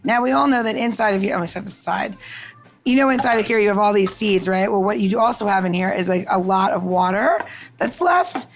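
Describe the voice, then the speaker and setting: A woman's voice: medium pitch, lively intonation, fast talker. A woman co-hosting a podcast, giving kitchen tips in a chatty, informal way with casual banter.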